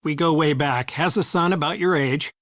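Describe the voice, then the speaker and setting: A man voicing an affectionate middle-aged father in a video game, talking in a calm, easygoing, encouraging way. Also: a little high, normal speed, no echo, studio quality, close to an everyday voice.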